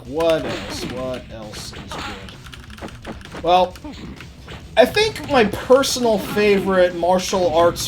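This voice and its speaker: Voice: medium pitch, noisy background. A streamer chatting casually while playing, rambling in an offhand way and swearing freely. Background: game music.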